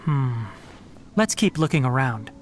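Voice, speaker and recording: An actor playing a thoughtful young male investigator, measured and analytical, in a video game. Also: medium pitch, lively intonation, a little hiss or hum, natural voice. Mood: pensive, measured. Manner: pensive, deliberate. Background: faint music.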